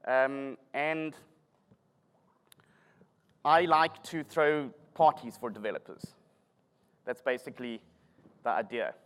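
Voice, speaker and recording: A man's voice: mid-range voice, slow, long pauses, fairly bright tone, clean tone. Joking South African man in a hall. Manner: self-deprecating, joking. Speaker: conference speaker. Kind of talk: conference talk. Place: conference hall. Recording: long hall reverb.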